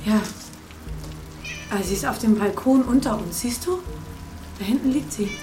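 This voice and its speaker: A woman's voice: a little high, normal speed, warm tone, natural voice. A woman playing a frank, wry young woman on a date in a naturalistic audio drama, casual and teasing in manner. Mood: alarmed, accusing. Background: music.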